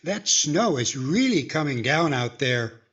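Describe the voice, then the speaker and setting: A man's voice: on the high side, big pitch swings, a little slow, a bit bright, slightly rough, dull audio, heavily acted. A man playing a mild, polite ventriloquist in 1940s radio comedy style. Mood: mild, apologetic. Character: mild, polite ventriloquist correcting his cheeky dummy.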